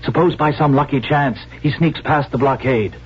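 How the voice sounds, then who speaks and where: A man's voice: a little high, normal speed, husky. An actor playing a cautious, worried government man in a radio drama, earnest and questioning. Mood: anxious, doubtful. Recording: muffled, noisy vintage radio recording.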